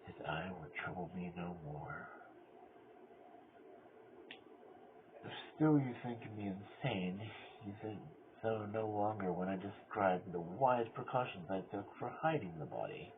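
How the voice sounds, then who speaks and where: A man playing a cunning, mad murderer who confesses in a theatrical gothic horror reading, swinging between hushed control and frenzy. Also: low-pitched, lively intonation, moderate pace, few pauses. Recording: tinny band-limited audio with clear noise.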